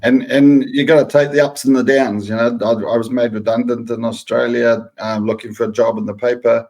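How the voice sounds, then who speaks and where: A man's voice: medium pitch, flat delivery, brisk, a little mellow, low-quality recording. A middle-aged man with a New Zealand accent, a guest talking through his career in a matter-of-fact way. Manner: matter-of-fact, lists things steadily. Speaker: guest, food safety professional. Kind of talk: casual interview.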